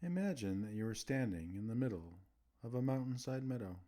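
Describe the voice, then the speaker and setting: A man narrating a scripted guided relaxation as a meditation host, soothing, with gentle pauses between phrases. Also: mid-range voice, lively intonation.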